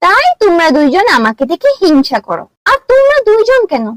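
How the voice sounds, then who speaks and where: A woman's voice: very high-pitched, big pitch swings, a little fast, dry recording, clean studio sound. A woman playing a vain, quarrelsome young village wife in a cartoon, with whiny, haughty, dramatic complaining. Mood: boastful, scornful. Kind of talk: exaggerated cartoon dialogue.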